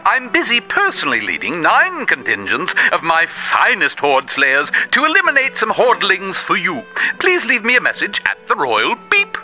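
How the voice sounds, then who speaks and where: A pompous, chirpy king recording an answering machine greeting for a comedy game. The voice is high and piercingly bright, bouncing widely in pitch, with faint music behind. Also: wildly swooping pitch, normal speed, few pauses, exaggerated voice, rough recording. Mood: cheery, boastful. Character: self-important, cheery foolish king's recorded greeting. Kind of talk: answering machine message in comic game cutscene.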